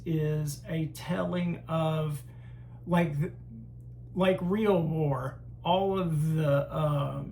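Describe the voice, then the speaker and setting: A man hosting a casual film collection review, talking haltingly with frequent pauses and fillers. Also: fairly high pitch, vocal fry, slight background noise. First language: English. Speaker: host.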